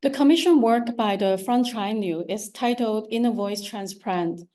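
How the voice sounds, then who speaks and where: A woman with a strong Cantonese accent, an artist giving a lecture about her work, often pausing with ums and restarting her sentences. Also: medium pitch, dark tone. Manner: hesitant, many ums and restarts. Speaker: visual artist giving a talk. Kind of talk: lecture on her art practice.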